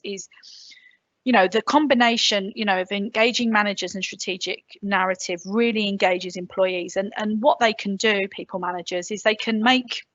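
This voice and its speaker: A woman's voice: medium pitch, a bit bright, dry recording, highs cut off. London woman presenting on a video call. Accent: London English. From England. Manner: chatty, filler-heavy, self-deprecating asides. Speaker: conference presenter. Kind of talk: online conference presentation. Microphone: computer mic on a video call.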